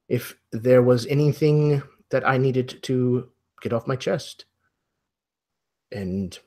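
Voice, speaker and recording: A man playing an aging, courteous host of a mansion in a tabletop game, speaking in a halting, apologetic and formally polite way. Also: mid-range voice, dark tone. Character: aging, courteous, flustered old mansion host in plaid suit. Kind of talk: improvised roleplay dialogue.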